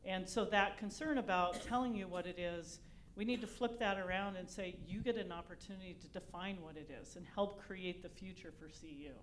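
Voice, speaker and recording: A woman's voice: fairly low pitch, a little fast. Female university leader on a panel, emphatic and upbeat, faint background hum. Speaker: research vice chancellor on a panel. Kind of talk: unscripted panel remarks.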